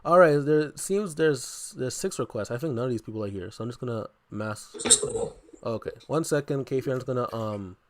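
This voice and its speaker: A man's voice: medium pitch, fairly quick. Insistent man on a debate stream. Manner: insistent, repeats his question, cuts in. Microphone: headphones plugged in, computer mic.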